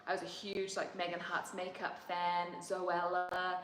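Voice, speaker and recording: A woman's voice: fairly low pitch, monotone, measured pace, fairly bright tone, reverberant, slight background noise, low-quality recording. A young woman who grew up in New Zealand, chatting casually and rambling as she co-hosts a livestream with her husband. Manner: chatty, rambling, laughs often. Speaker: co-host of a livestream.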